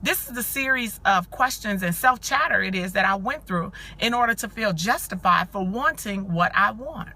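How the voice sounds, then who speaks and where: A woman in her forties hosting a casual motivational live stream, her voice hoarse and scratchy from cheering, chatting warmly and greeting viewers by name. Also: medium pitch, expressive, moderate pace, few pauses. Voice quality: scratchy, growly. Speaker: live-stream host and coach for mothers.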